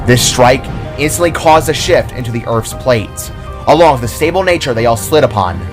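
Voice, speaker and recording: Male author-narrator, mid-pitched, dramatic scripted storytelling, clear music bed underneath. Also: animated, moderate pace.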